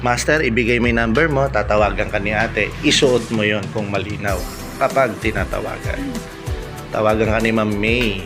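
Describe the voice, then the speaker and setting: A middle-aged man with a Filipino accent, chatting to viewers in a casual vlog full of shout-outs. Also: fairly low pitch, normal speed. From Philippines. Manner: chatty, often addressing viewers. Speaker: motovlogger host. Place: vlogging in an intercom accessory shop. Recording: loud music behind the voice.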